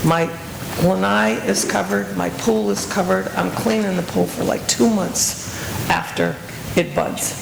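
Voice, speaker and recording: A woman's voice: mid-range voice, steady tone, unhurried, vocal fry. A woman who is losing her voice speaks earnestly and a little anxiously as a resident at a community meeting. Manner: earnest, anxious requests with restarts. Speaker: resident speaking at public comment. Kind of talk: public comment at community meeting. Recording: echoey meeting room with background noise.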